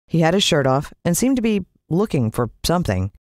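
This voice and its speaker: American lady reading a spooky story, low voice, super animated, dry close sound. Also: no room sound. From United States. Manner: expressive, suspenseful storytelling with drawn-out words. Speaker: audiobook narrator. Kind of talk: dramatic scripted story narration.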